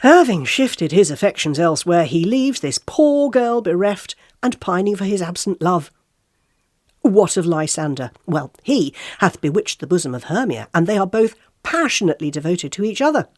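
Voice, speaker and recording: A woman's voice: on the low side, lively intonation, moderate pace, dry recording, clean studio sound. A woman playing a gossipy tabloid website reporter, reading a scripted column in an arch, theatrical, teasing way. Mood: mock-shocked, teasing.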